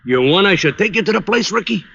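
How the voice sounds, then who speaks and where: An actor playing an eager, slangy, squeaky-voiced underworld informant in an old radio drama. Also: male voice, lively intonation, fast, creaky, fairly bright tone, slightly rough, full character voice. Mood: eager, deferential. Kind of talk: 1940s radio acting. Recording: dull, noisy old radio recording with clicks.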